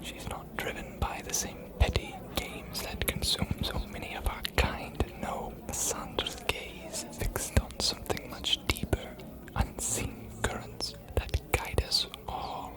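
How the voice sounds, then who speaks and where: A performer playing a courtly, bookish ancient vampire mentor in an ASMR roleplay, speaking in a breathy whisper with a formal, confiding manner. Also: natural voice. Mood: confiding, admiring. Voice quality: breathy whisper, almost no voicing. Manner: measured, formal, confiding, philosophical.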